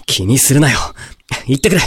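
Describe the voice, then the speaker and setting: A man acting a rough-spoken, kind-hearted young male companion in a Japanese audio drama, with a husky voice and blunt, casual delivery. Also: medium pitch, sing-song, brisk, a little hiss or hum, natural voice. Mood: concerned.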